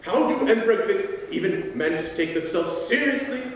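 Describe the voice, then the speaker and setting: Young man playing a high-pitched, exasperated whiner. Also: animated, a little fast. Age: young adult. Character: exasperated, whiny, heavily pregnant male nation. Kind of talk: amateur dramatic reading of comic fanfiction. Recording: echoey hall from far off, faint noise.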